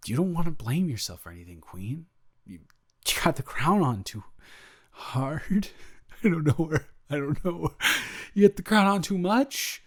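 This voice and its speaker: A man improvising an audio roleplay as a flustered, evasive roommate who talks straight to the listener, stammering and joking as he rambles. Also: on the high side. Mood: playful, teasing. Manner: rambling, stammering, self-interrupting, playful.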